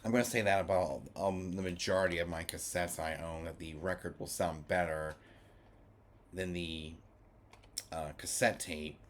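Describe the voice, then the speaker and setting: Low-voiced man, casual review, noisy background. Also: fairly even intonation, moderate pace. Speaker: vlogger reviewing music formats. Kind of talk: casual rambling review talk.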